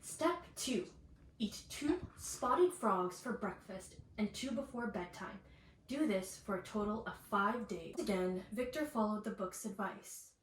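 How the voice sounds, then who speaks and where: A woman reading a children's bedtime story aloud in an animated way, with asides to the young listeners. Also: mid-range voice, unhurried. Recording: echoey room, distant mic, faint noise.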